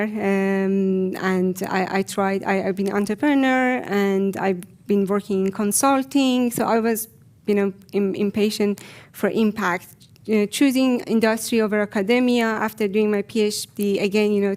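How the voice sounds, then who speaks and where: A woman with an Iranian accent giving a personal conference talk in a reflective, hesitant way with frequent pauses. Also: mid-range voice, steady tone, a little mellow. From Iran. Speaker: conference speaker.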